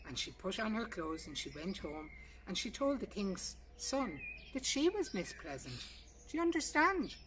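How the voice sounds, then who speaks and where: A woman's voice: medium pitch, big pitch swings, normal speed, recorded from a distance. Irish woman storyteller, noisy birdsong behind. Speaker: storyteller reading a folk tale. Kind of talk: traditional oral folk tale narration. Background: birdsong, ambient noise.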